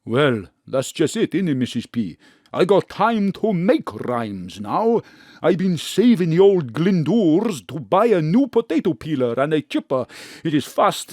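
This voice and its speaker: High-voiced, flamboyant Greek charmer. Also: male voice, darkish tone, dry recording, studio quality. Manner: showy, broken English, wheedling. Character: flamboyant, flirtatious Greek landlord and chip-shop fryer. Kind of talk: comic audio drama acting.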